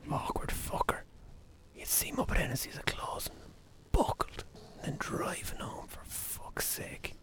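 A man playing a scornful, gossiping Irish local in a radio drama, muttering in a hushed voice. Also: measured pace, whispered, close to an everyday voice. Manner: muttering, hushed, foul-mouthed. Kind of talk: naturalistic radio drama acting.

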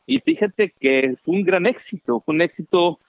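A middle-aged Mexican man telling his story as an interview guest, animated and chatty with many fillers. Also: high-pitched, moderate pace, no room sound. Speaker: guest, founder. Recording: dry, dull remote call audio.

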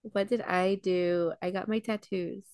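A woman's voice: mid-range voice, steady tone, a little slow, darkish tone, clean tone, dry recording, studio quality. Chatty young woman telling stories. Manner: chatty storyteller, lots of "like" and "um". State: coming down with a cold. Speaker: podcast co-host. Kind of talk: casual chat between friends.

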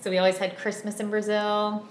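A woman in her thirties from Virginia, chatting as a podcast guest in a friendly, unhurried way with many ums. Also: medium pitch, level tone. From Virginia, USA. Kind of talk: casual interview chat. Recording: roomy echo, faint background hum.

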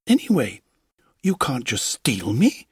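A man's voice: mid-range voice, huge pitch swings, slow pace, darkish tone, breathy edge, dry recording, clean studio sound, heavily acted. A man voicing an indignant, chatty little piece of talking cherry wood in a storybook, politely but insistently protesting.

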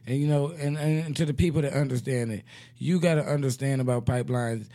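A man with a raspy voice hosting a casual comedic radio show, joking and laughing often in slangy banter. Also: mid-range voice, fairly quick, mellow.

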